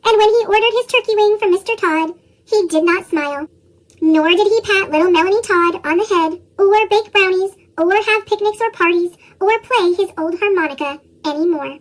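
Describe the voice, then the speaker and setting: A woman reading children's storybooks aloud expressively, her voice shifted up with a chipmunk effect. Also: moderate pace. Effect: chipmunk-style pitched-up voice. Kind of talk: expressive read-aloud narration.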